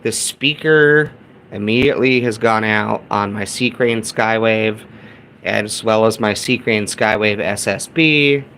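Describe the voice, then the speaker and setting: A man's voice: lowish voice, measured pace, fairly bright tone. A man casually demonstrating gear as a hobbyist host, talking to viewers like buddies. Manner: informal, buddy-like address to viewers. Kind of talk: casual hands-on gear demo. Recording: clear background noise.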